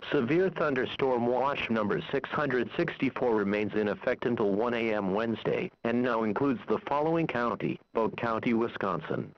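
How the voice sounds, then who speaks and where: A man's voice: medium pitch, moderate pace, hardly any pauses. Dull-sounding synthetic male weather radio announcer. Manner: formal, impersonal, synthetic delivery. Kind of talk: scripted weather forecast read-out.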